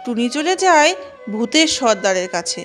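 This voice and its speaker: A woman's voice: fairly high pitch, big pitch swings, moderate pace, soft background music. A woman narrating a scripted children's cartoon story.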